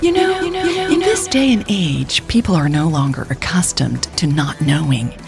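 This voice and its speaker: A woman's voice: low-pitched, sing-song, moderate pace, gravelly, over loud music, heavily acted. A woman voicing an earnest pundit who philosophizes in an audio story. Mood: musing, earnest.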